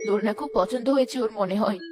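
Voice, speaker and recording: A woman's voice: mid-range voice, fast talker, over music, pitched below the performer's own voice, close to an everyday voice. A woman voicing a kindly, reassuring old village grandmother in a cartoon, in a soothing, matter-of-fact manner. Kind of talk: cartoon character acting.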